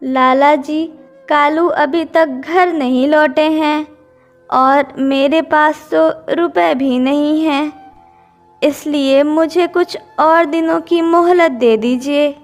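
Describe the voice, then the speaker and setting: A woman's voice: high voice, fairly even intonation, takes it slowly, faint music behind. A woman voicing a humble, earnest mother bird in a children's cartoon, speaking politely and pleadingly. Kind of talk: Hindi children's cartoon dubbing.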